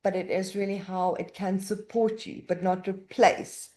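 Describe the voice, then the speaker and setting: Woman webinar host, mellow tone, chatty with many fillers and restarts, on a computer mic. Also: mid-range voice, fairly even intonation, a little slow. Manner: conversational, frequent fillers and self-corrections. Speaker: university webinar presenter. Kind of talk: presenting a live webinar. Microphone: computer mic on a video call.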